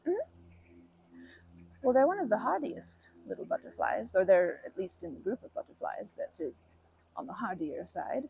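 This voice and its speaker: A woman voicing a kindly, chatty old grandma who rambles gently in a folksy, soothing way for an ASMR comfort roleplay. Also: on the high side, very animated, normal speed, slight background noise, low-quality recording. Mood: chatty, fond. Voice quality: creaky, breathy.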